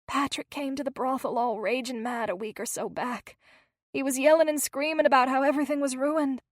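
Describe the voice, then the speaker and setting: A scared teenage girl on the run, as a woman reads her, talking in rough, broken grammar. Her voice sits high and tight in a dry, close storybook reading. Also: fairly quick, no echo. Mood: frightened. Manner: rough, ungrammatical speech. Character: frightened teenage girl, battered runaway.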